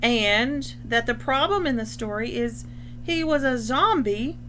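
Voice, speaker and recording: A woman reading a children's picture book aloud expressively, pausing to explain things in simple terms. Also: fairly high pitch, lively intonation, pushed and bright, slight background noise. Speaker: storybook reader.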